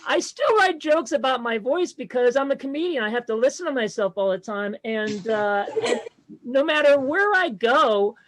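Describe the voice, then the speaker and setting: A man in his fifties with a strikingly high, light voice does a stand-up set over a video call. He delivers self-deprecating jokes in a dry, deadpan way. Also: moderate pace, rough recording. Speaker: comedian.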